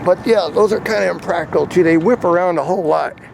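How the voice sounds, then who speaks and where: An American man with a slightly high, creaky voice talks informally, as if working things out aloud while he shows his gear. His delivery is casual and rambling, and there is clear background noise around him. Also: fast talker. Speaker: hobbyist vlogger demonstrating CB radio gear. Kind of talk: casual rambling demonstration to camera.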